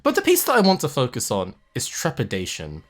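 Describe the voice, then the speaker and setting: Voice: medium pitch, wildly swooping pitch, a little fast. A video essay host narrating analysis with casual asides, in an emphatic, conversational way. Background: music under the voice.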